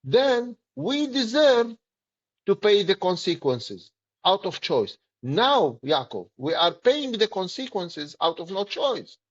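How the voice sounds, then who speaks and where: A middle-aged man with a Palestinian Arabic accent, speaking earnestly and deliberately in short, emphatic phrases as an interview guest. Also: high voice, big pitch swings, highs cut off. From Palestinian territories. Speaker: political activist, interview guest.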